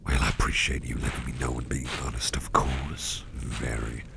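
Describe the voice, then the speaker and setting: A man playing a mischievous businessman boss in an intimate ASMR roleplay, murmuring in a halting, gravelly half-whisper. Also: rumbling low, flat delivery, noisy background, exaggerated voice. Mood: warm, reassuring. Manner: slow, halting, repeating phrases, softly chuckling. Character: mischievous, toothy businessman boss, affectionate and covert.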